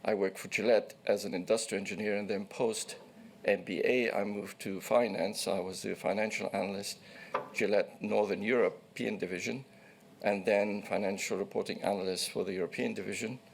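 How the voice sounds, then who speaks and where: An older man in his sixties, originally from Egypt, with a low, gravelly voice. He gives formal testimony in a measured way, with small restarts as he recounts his past. A faint hiss sits behind him. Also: fairly even intonation. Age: 60s. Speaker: witness.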